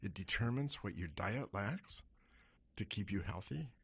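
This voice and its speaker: A hoarse man hosting a radio money show, asking rhetorical questions with lively pitch swings. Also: measured pace, dry recording. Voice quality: husky. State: hoarse from smoke. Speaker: radio show host and investment advisor. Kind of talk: talk radio monologue.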